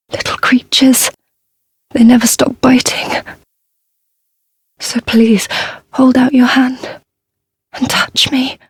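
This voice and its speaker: An actress playing a frail, timid young woman lost in darkness in a fantasy game, with a fragile, breathy, halting voice. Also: fairly high pitch, darkish tone, dry recording, studio quality, exaggerated voice. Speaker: video game voice actor. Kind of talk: scripted fantasy game dialogue.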